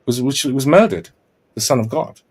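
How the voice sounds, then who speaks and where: This is a man holding forth on a casual podcast, earnest and lecturing. His voice sits at an ordinary middle pitch, and he often restarts his phrases and tags them with "right?" as he goes. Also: moderate pace, a little mellow, breathy edge. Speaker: podcast host.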